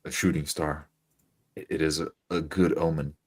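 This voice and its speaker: A man playing an earnest, devout adventurer in a tabletop game, speaking politely and haltingly with a solemn air. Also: very deep voice, little pitch movement, husky. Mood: reverent. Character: earnest, devout male adventurer reading omens.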